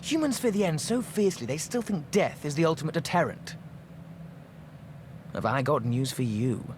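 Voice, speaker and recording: Man acting an ancient undead trader, lively intonation, dry wit, sardonic, game drama, noisy ambience. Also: on the high side, normal speed, close to an everyday voice. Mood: wry. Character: wry three-thousand-year-old undead male businessman in fedora. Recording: clear background noise.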